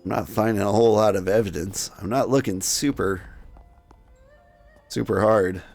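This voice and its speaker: Male game narrator, storytelling read-aloud delivery, gravelly edge, music underneath. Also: on the low side, normal speed, a little mellow. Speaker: game reader playing all the characters. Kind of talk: narrated game playthrough with character voices.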